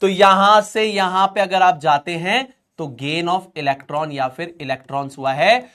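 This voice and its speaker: A man's voice: fairly high pitch, fairly bright tone. A young man teaching a chemistry class, explaining step by step in a chatty way and often stopping to check that students follow. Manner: chatty, asks checking questions. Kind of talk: online class lecture.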